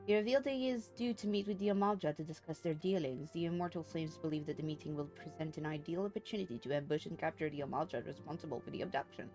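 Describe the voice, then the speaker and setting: A woman's voice: low voice, fast, hardly any pauses, dark tone, faint music behind, pitched below the performer's own voice, natural voice. A woman reading aloud as a composed, formal leader in a fantasy game, with courtly, earnest manner. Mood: formal, confiding. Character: composed, formal, archaic-spoken order leader giving missions.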